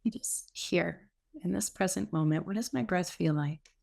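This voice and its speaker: Low, creaky-voiced woman guiding meditation. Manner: slow, gentle, soothing delivery with pauses. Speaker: meditation teacher. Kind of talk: guided meditation.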